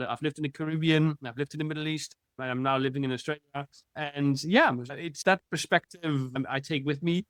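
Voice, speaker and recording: A man from the Netherlands, answering interview questions in a lively, talkative way. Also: on the high side, no room sound. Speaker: guest, futurist.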